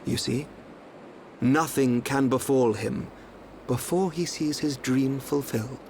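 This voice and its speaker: An actor playing a calm, enigmatic young seer in a video game, speaking in a smooth, cryptic, unhurried way. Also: male voice, medium pitch, a little hiss or hum. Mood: cool, smug.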